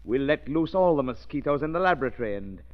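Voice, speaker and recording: A male actor plays a vengeful aristocratic schemer. He speaks with cold, measured authority in a middle register and 1930s radio style. The sound is dull and band-limited. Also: mid-range voice, expressive, natural voice. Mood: menacing. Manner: measured, commanding. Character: cold, vengeful aristocratic villain plotting against his guests.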